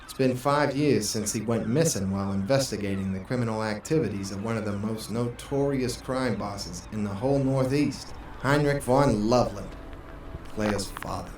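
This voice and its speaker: A man's voice: on the low side, moderate pace, a little mellow, lightly acted. A man playing a hard-boiled, world-weary 1940s private investigator in a noir radio drama, with a wry, brooding manner. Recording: roomy, distant microphone, music underneath.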